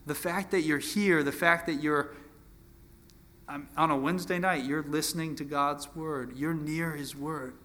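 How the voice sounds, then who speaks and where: A man's voice: on the high side, fairly even intonation, measured pace, pushed and bright. A man in his twenties teaching from the Bible in an informal sermon, conversational and self-deprecating, often restarting his phrases. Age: 20s. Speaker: preacher.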